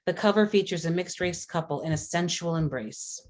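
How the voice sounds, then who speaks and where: A woman's voice: lowish voice, moderate pace. A woman reading scripted stage directions aloud at a script table read. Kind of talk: scripted narration of screenplay action.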